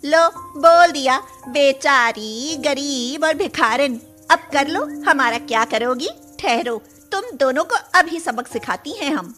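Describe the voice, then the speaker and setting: Young schoolgirl played by a woman, very high voice, emotional, cartoon moral tale with music underneath. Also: lively intonation, takes it slowly, hardly any pauses, bright, forceful tone, full character voice. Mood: defiant. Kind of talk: acted Hindi moral cartoon story.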